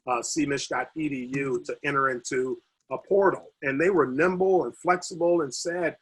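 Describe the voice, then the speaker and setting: Husky-voiced man losing his voice. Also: on the high side, steady tone, low-quality recording. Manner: halting, many fillers and restarts. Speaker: university recreation administrator. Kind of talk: video call panel remarks. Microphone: video call.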